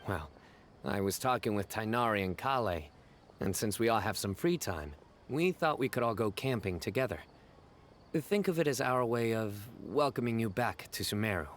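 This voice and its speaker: A man voicing a stern young judge in a video game, dry and measured in a lowish voice. Faint noise sits beneath him. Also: expressive, lightly acted. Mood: calm.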